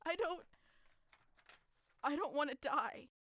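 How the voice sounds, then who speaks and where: A woman's voice: sits high, lively intonation, slow pace, a bit bright, dry recording, heavily acted. A woman playing a defiant, desperate captive investigator in an audio drama, intense and emotional. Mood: shaken.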